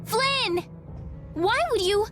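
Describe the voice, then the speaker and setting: A woman voicing a gentle, anxious, well-bred young lady in an anime video game, polite and earnest. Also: very high-pitched, very slow, exaggerated voice. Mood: worried, earnest. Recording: background music behind the voice.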